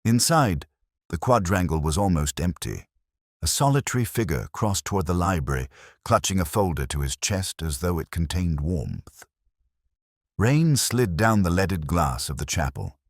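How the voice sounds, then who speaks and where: A brooding, introspective police inspector played by a man narrates his case in an extremely deep voice. His delivery is measured, solemn and literary, with pitch that moves widely within that low register. The recording is dry and close, in the manner of a scripted detective audio drama. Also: expressive, no room sound. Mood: brooding, reflective. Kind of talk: scripted first-person detective story narration.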